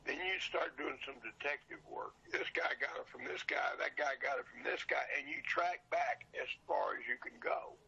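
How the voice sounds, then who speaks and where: An older retired man with a raspy, husky voice chatting casually as an interview guest, plain-spoken and repeating phrases for emphasis. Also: on the high side, moderate pace, few pauses, bright, forceful tone, dull audio. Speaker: podcast guest, seller of old radio show recordings.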